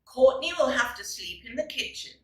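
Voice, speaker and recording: A woman's voice: high-pitched, animated, normal speed, a bit bright, echoey room, far from the mic, pitched above the performer's own voice. A woman voicing a fussy, disapproving parent in a storybook, with an emphatic, scolding way of talking. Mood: firm.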